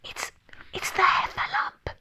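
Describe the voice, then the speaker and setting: A tiny, timid storybook piglet performed by a woman, squeaky and high. He is anxious and easily flustered, tripping over his words and blurting them out. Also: expressive, unhurried, a bit bright. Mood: alarmed. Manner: nervous, stammering, blurting.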